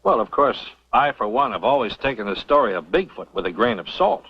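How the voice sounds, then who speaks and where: Casting: sly, self-important Western professor, animated delivery; dull old radio sound. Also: male voice, mid-range voice. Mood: pompous. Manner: pompous, deliberate. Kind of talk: old-time radio drama acting. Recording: muffled old radio recording.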